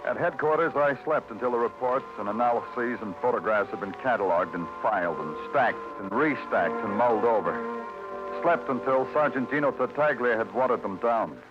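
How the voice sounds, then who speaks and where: An American actor playing a weary, hard-boiled New York police detective in a terse, brooding, sardonic 1940s radio style. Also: male voice, mid-range voice, animated, normal speed, close to an everyday voice. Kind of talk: 1940s radio crime acting, dramatic narration. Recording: dull vintage radio recording, faint music.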